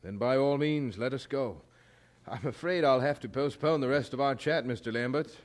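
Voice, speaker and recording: A man acting in an audio drama as a firm, earnest colonial chaplain, speaking in a formal, measured and articulate way. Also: medium pitch, lightly acted. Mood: concerned.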